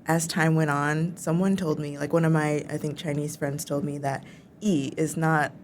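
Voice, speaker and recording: A young woman from the United States in a casual chat, easygoing and quick to laugh, using many fillers. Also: low voice, flat delivery, a little hiss or hum. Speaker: guest teacher.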